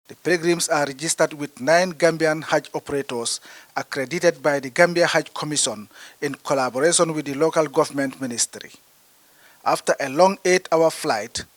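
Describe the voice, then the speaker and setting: A man voices a news report from a script. His reading is measured and formal. The sound is dry and close, and his pitch sits a little high. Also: dry recording.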